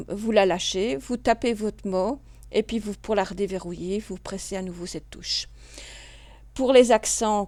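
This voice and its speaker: Lady explaining keyboard stuff slowly, patient, dry close mic. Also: medium pitch, a little mellow, dry recording. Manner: patient, step-by-step, with small restarts and fillers. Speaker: computer class instructor. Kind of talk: screen-recorded tutorial narration.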